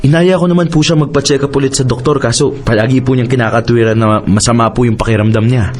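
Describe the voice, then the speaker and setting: A man's voice: mid-range voice, fairly even intonation, fast, natural voice. A man with a Filipino accent playing a deferential, troubled younger brother in a radio drama, hesitant and pleading. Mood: uneasy, resigned. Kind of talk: Tagalog radio drama acting. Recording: music bed under voice.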